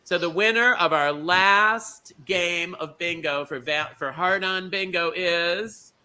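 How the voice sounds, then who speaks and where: A man hosting an online bingo show, teasing his guests with quick, campy asides. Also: high-pitched, bright, forceful tone.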